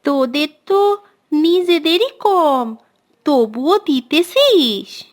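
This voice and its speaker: Woman as a cartoon village girl, high-pitched, slow, proud, bragging. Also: expressive, full character voice. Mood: dismayed. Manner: bragging, rustic colloquial Bengali.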